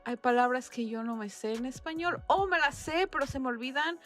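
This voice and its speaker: A woman's voice: sits high, brisk, fairly bright tone, soft background music. A woman from Mexico co-hosting a casual podcast, laughing often and dropping English words into her Spanish. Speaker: podcast co-host. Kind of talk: casual chat.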